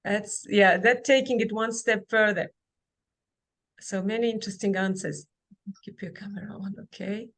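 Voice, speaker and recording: A woman giving a webinar presentation over a video call. She speaks haltingly and fills pauses with many ums and restarts. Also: medium pitch, steady tone, a bit bright. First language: Kazakh. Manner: halting, with frequent fillers and restarts. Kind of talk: online presentation and Q&A.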